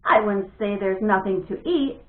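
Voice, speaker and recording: A woman's voice: medium pitch, measured pace, lightly acted. A woman voicing a teasing, bossy older sister in a storybook, with a firm, taunting delivery. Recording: thin phone-like audio, echoey room.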